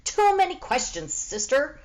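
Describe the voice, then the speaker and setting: A woman's voice: sits high, big pitch swings, measured pace, darkish tone, voice pushed up, rough recording. A woman voicing an aloof, self-important female cat with a curt, haughty manner in a storybook reading. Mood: aloof, smug.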